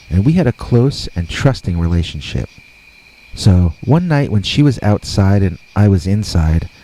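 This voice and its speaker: A man narrating a scripted scary story deliberately, with dramatic pauses. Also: sits low, mellow, rough recording. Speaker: host and narrator of scary stories.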